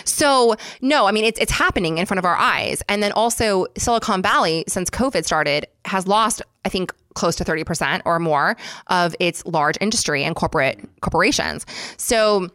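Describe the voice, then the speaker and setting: Woman in her 30s, lower voice, animated podcast guest from California, dry sound. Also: moderate pace, no room sound. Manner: chatty, animated, frequent fillers and restarts. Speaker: acupuncturist. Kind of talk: casual podcast chat.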